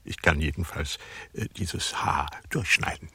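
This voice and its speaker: A hoarse, breathy, weary Roman governor. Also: male voice, a bass voice, pushed and bright, no room sound, exaggerated voice. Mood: menacing. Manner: halting, commanding, half-whispered. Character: weary, irascible Roman governor interrogating a prisoner. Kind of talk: radio drama acting.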